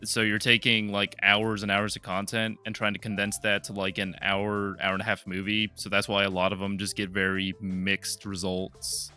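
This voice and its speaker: A young man co-hosting a podcast, calmly explaining his view step by step. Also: lowish voice, moderate pace, hardly any pauses, clean tone, soft background music. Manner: explanatory, building arguments step by step. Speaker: podcast co-host. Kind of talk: casual chat.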